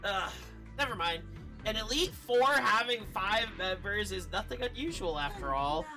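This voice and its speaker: A woman streaming a game, giving expressive, casual commentary and reading dialogue aloud, sometimes swearing. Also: mid-range voice, moderate pace, rough recording. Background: faint video game music.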